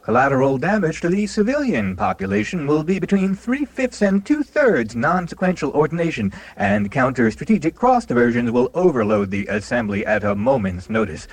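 Man as animated officious military technocrat. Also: on the high side, moderate pace, gravelly, hardly any pauses, no echo, heavily acted. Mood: officious, ominous. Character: officious military technocrat reciting doomsday jargon. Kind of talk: mock official briefing, scripted.

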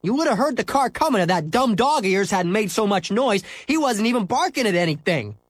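A man reading aloud voices a bossy, angry young boy who talks in a shrill, accusing way. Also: expressive, brisk, no echo, studio quality.

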